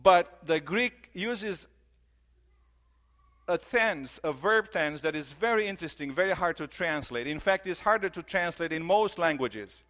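A man's voice: a little high, lively intonation, fairly bright tone. Earnest preacher, English his second language. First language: not English. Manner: earnest, repeats key phrases, slows for emphasis. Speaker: pastor. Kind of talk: sermon.

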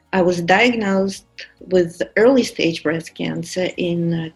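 A woman's voice: medium pitch, rough recording. A woman in her fifties with a Russian accent, wryly telling her personal story on a podcast with many fillers. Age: 50s. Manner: candid, wry, many fillers. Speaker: podcast producer and guest.

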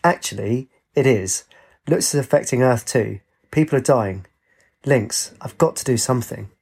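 A man's voice: mid-range voice, moderate pace, gravelly, darkish tone, breathy edge. A man voicing a spirited, determined female adventurer with an eager, direct manner in a story.

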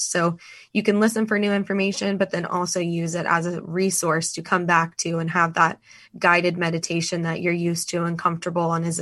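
A woman with a raspy voice and a fairly low pitch talks in a casual podcast chat. She sounds enthusiastic and chatty and often laughs between thoughts. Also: fairly bright tone. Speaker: podcast guest.

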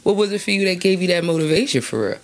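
A woman's voice: on the low side, very animated, very fast. A woman hosting a casual interview, chatty and slangy, asking long, rambling questions and laughing easily. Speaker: interview host.

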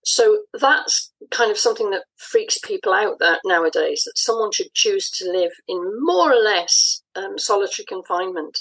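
A woman speaking as an interview guest, explaining things conversationally in a measured, reflective way with pauses between phrases. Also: mid-range voice, lively intonation, a bit bright, slightly rough, low-quality recording. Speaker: interview guest, audio dramatist.

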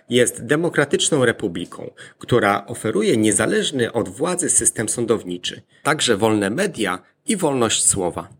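A man presenting a scripted explainer in a deliberate, point-by-point manner. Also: lowish voice, a bit bright, slightly rough.

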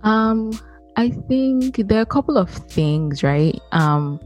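A woman's voice: medium pitch, animated, slow, a little mellow, soft background music. A young woman from Nigeria co-hosting a podcast, speaking casually and hesitantly with many fillers. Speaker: podcast co-host. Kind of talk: casual chat.

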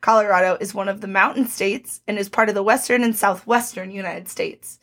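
A woman with a slightly hoarse voice, co-hosting a casual podcast, joking with puns and reading out background facts. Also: medium pitch, brisk, fairly bright tone. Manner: playful, punning, reads lists of facts aloud. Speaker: podcast co-host.

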